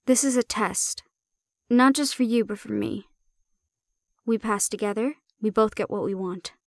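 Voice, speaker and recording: A woman's voice: mid-range voice, unhurried, creaky, no room sound. Girl voicing a cocky handler woman, blunt n teasing, not scared of anyone, audio rp, dry close sound. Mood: firm, challenging. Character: bold, cocky non-human female handler, sardonic and unafraid. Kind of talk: audio roleplay acting.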